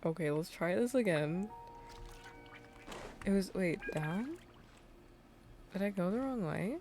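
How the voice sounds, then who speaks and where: Female game streamer, low register, unhurried pace, informal running chat over game music. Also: animated, dark tone. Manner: informal, reacting aloud to the game. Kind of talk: casual livestream gameplay chat.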